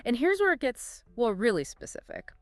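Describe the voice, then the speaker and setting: A woman co-hosting a scripted discussion, telling the story with lively emphasis. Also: fairly high pitch, moderate pace, no echo. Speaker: podcast co-host. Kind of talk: scripted two-host discussion.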